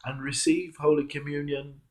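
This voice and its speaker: A man, a clergyman, reading a devotional sermon in a measured, emphatic manner with rhetorical turns. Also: fairly high pitch, level tone, a little mellow. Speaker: Anglican clergyman reading a reflection. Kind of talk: devotional sermon, read aloud.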